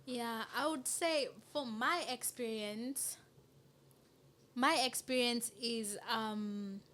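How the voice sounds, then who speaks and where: A woman speaks in a fairly high voice, hosting a relaxed chat. Her is Kikuyu, and she reflects slowly on her experience. A faint hiss sits behind her. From Kenya. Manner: reflective, with frequent agreeing interjections. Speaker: host. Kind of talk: casual podcast chat.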